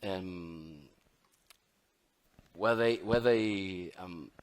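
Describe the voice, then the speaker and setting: Argentine male moderator, native Spanish speaker, deep voice, halting and searching delivery. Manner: hesitant, halting phrasing. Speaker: panel moderator. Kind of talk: live panel moderation.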